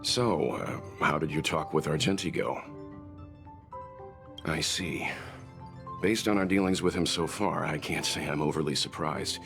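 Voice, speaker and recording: Reserved older game mentor, low voice, thoughtful and restrained, music underneath. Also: male voice, unhurried, vocal fry, pauses often, exaggerated voice. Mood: thoughtful, wry. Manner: calm, reflective, reserved. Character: measured, world-wise older male mentor. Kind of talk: restrained video game voice acting.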